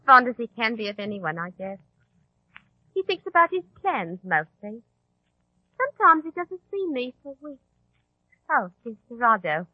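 A woman's voice: on the high side, very animated, normal speed, long pauses, no echo. A woman playing a naive, wistful sweetheart in a 1940s radio drama, dreamy and confiding. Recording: dull, lo-fi old radio recording.

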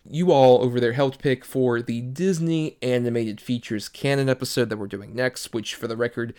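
A man's voice: mid-range voice, normal speed, a little mellow. A man co-hosting a casual movie podcast with playful, jokey banter. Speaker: podcast co-host.

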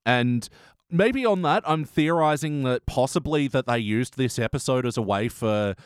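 A man's voice: medium pitch, lively intonation, moderate pace, smooth, no echo, clean studio sound. A man hosting a podcast, chatting casually and offering his opinions in a conversational way. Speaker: podcast host. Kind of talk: casual episode review chat.

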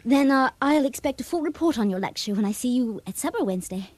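A woman's voice: sits high, animated, fast talker. An actress playing a love-struck teenage schoolgirl, flustered and affectedly formal, in a radio drama. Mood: nervous, earnest.

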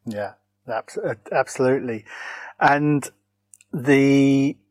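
Halting interviewer with dry sound. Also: mid-range voice, darkish tone, dry recording. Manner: halting, rambling questions, many fillers. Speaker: interviewer, podcast host. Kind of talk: casual interview questions.

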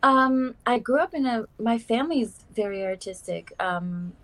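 A woman's voice: fairly high pitch, animated, measured pace, a bit bright. A young woman from South Africa, now, chatting as a guest on a casual podcast and using lots of "like" and "um". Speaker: guest artist. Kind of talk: casual interview chat.

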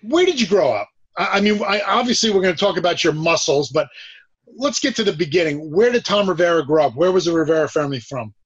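A man hosting a conversational interview podcast, with a husky voice. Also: fairly high pitch, animated, fast talker, dull audio. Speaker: podcast host.

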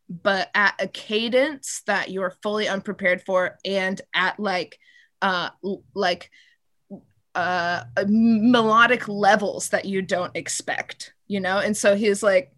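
A woman co-hosting a casual podcast, telling a story in an animated, rambling way with lots of fillers. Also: mid-range voice. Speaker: podcast co-host. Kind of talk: casual chat.

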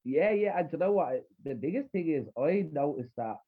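A young man with a rough north Dublin accent, a podcast guest chatting casually and rambling with plenty of fillers and restarts. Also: on the high side, animated, moderate pace. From Dublin, Ireland. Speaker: podcast guest, spoken word poet. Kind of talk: casual interview chat. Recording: husky voice over a tinny remote line.

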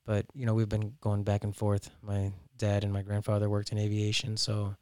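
A man in his thirties speaks as a guest in a casual interview. His voice is fairly low and smooth, recorded dry and close. He thinks aloud informally, pausing and peppering his talk with "you know". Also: monotone, darkish tone, dry recording. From Miami, United States, born in Peru. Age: 30s. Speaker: interview guest, restaurant owner.

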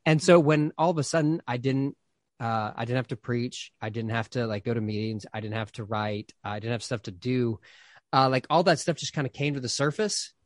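A man's voice: medium pitch, fairly quick, no echo, clean studio sound. A pastor and author in his thirties talking casually in an interview, rambling with lots of fillers and restarts.

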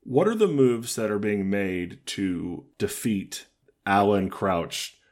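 A man co-hosting a podcast in casual comedic chat, dry and joking, with a deep voice. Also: lively intonation, slow pace. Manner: dry, joking, riffs on bad movies. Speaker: podcast co-host.

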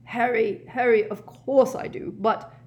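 A woman voicing a tearful, tipsy, frightened old male professor in a storybook, halting and genteel. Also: on the high side, fairly even intonation, a little mellow, voice pushed up, lightly acted. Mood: frightened, ashamed.

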